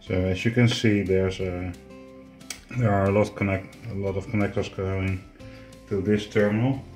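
Man, forties, echoey indoor recording, music in background, halting casual how-to explanation. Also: deep voice, flat delivery, darkish tone. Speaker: host of a boat DIY channel. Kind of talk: casual hands-on explanation to camera. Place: indoors, home living room and boat cabin. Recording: echoey room, music behind.

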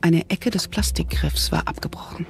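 A woman's voice: fairly low pitch, wildly swooping pitch, normal speed, whispered, over music. A woman narrating an audio thriller from a script.

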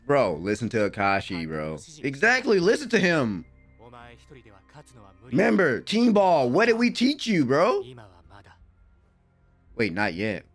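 Young male reactor, high and swooping voice, gravelly edges, slangy hype. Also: slow pace, long pauses, soft background music. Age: young adult. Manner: animated, slangy, hyped exclamations. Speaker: anime reaction host. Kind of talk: excited live reaction commentary. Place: small room at home, indoors. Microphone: desk microphone, close.

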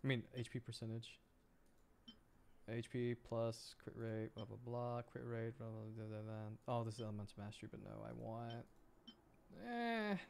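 A man doing casual livestream gameplay commentary, excitable and thinking aloud as he plays. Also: mid-range voice, normal speed, creaky, slight background noise. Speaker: streamer.

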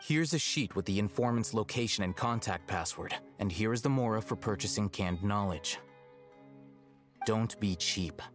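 An actor playing an aloof, condescending young scholar in a video game, speaking in a measured, dry, deadpan way. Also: male voice, lowish voice, soft background music, natural voice. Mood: matter-of-fact.

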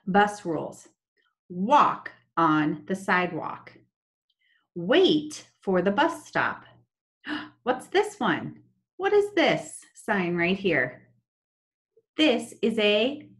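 A young woman teaching children in an online lesson, talking slowly and in a sing-song way over a laptop webcam. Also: fairly low pitch. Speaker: special education teacher. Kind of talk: online lesson with screen share. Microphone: laptop webcam, video call.

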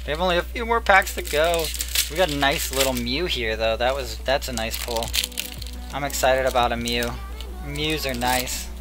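A young man chatting casually while opening card packs, murmuring card names and getting excited now and then, his voice going hoarse. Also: medium pitch, moderate pace, music in the background. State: losing his voice. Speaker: card pack opener. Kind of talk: casual pack-opening commentary. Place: small room at a table. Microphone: headset.

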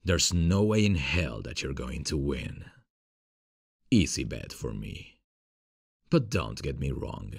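Very deep, gravelly boyfriend played by a man. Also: very animated, takes it slowly, long pauses, no echo, studio quality, exaggerated voice. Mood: teasing, cocky. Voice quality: gravelly, husky low voice. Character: playful frustrated gamer boyfriend, grown man, cocky but affectionate. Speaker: voice actor. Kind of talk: intimate ASMR boyfriend roleplay.

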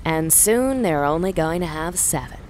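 Woman voicing a cool, low-voiced female bounty hunter. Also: expressive, normal speed, darkish tone, a little hiss or hum. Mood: smug.

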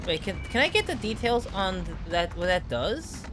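A man's voice: sits high, big pitch swings, moderate pace, noisy background. A man with a Brooklyn accent giving casual, joking commentary while playing a game on a live stream. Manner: chatty, joking. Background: game music.

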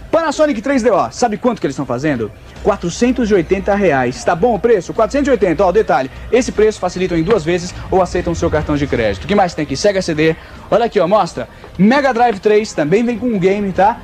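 A man, presenting an informal, playful TV shopping pitch from inside a shop. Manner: animated, playful, joking. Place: video game shop, indoors.

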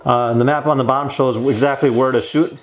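A man's voice: mid-range voice, fairly even intonation, fast talker, slight background noise. A young man giving casual, slangy and enthusiastic gameplay commentary as a toy reviewer. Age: young adult. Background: game music.

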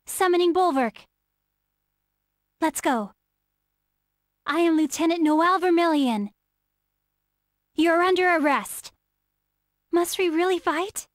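A woman's voice: very high-pitched, slow pace, long pauses, dry recording, clean studio sound. An actress playing an earnest, easily flustered young female military officer in emotional, dramatic video game battle lines. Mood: stern, formal. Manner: emotional, dramatic, swinging from polite pleas to shouts.

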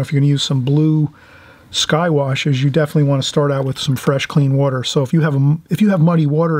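A man's voice: a little high, a little mellow, dry recording. A man giving an informal watercolor tutorial as the channel's host, rambling a little and often rephrasing himself. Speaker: painting instructor, channel host. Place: indoors at a painting table.

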